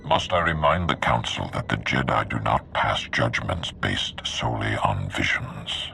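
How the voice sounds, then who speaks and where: An actor playing a commanding, serene Jedi master in a video game, speaking in a formal, measured, oratorical way. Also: male voice, rumbling low, bright, forceful tone, soft background music, full character voice. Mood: solemn, assured. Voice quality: gravelly. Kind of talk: cinematic video game acting.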